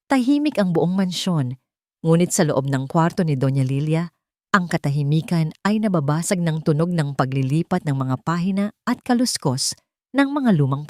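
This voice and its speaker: A woman's voice: low voice, darkish tone, no echo, studio quality. A Filipino woman narrating a scripted love story as a dramatic storyteller.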